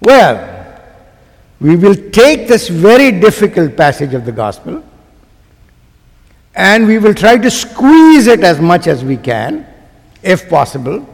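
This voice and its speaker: A man with a Pakistani accent delivers a sermon in a large church, his slightly high voice carried by long reverb. He speaks slowly and emphatically, pausing between phrases and putting questions to the congregation. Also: darkish tone. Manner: rhetorical questions put to the listeners. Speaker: guest preacher.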